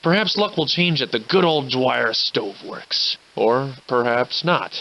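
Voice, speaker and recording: A hard-drinking gentleman adventurer from a Victorian video game world. He is wry and weary, speaking in formal, florid, antique phrases with a theatrical edge and a salty tongue. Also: mid-range voice, lively intonation, a little slow, lo-fi sound, a little hiss or hum. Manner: florid, old-fashioned, formal diction.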